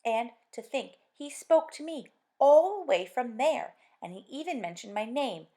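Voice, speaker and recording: A woman's voice: high voice, animated, measured pace, warm tone, pitched above the performer's own voice. A woman reading aloud and voicing an eager middle-aged husband who dreams of polar adventure, talking earnestly and enthusiastically.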